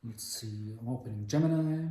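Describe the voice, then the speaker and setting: A man's voice: medium pitch, dark tone. Mexican-accented man reading aloud, echoey room. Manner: slow and careful, joking, swears casually. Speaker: book reader. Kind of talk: reading a book aloud with casual commentary.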